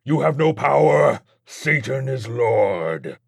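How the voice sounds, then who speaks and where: Demon villain for an audiobook: booming, boastful, cruel, dry studio sound. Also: male voice, sing-song, vocal fry, husky, dry recording, exaggerated voice. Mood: enraged shrieking. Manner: slow, taunting, drawn-out delivery. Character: boastful, sadistic demon possessing a city.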